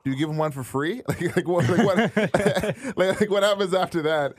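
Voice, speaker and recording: A man's voice: sits high, normal speed, dry recording. A young man hosting a podcast, teasing his guest in a joking, casual way. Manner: jokey, teasing, laughs a lot. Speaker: podcast host.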